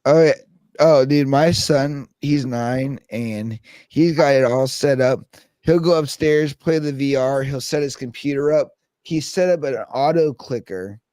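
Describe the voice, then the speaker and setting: A man's voice: mid-range voice, measured pace, a little mellow, dry recording. A man in his thirties chatting casually as host, telling stories animatedly with frequent laughs and swearing. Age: 30s. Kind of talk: casual chat.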